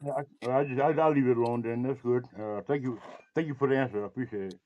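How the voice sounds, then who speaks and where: Fast-talking man on a tinny phone line. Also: medium pitch, dry recording. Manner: hesitant, polite. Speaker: caller.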